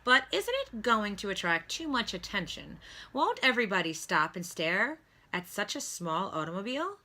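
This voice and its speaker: A woman voicing a tiny, polite and excitable boy mouse in a storybook reading, earnest and quick to cry out. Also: medium pitch. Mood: puzzled.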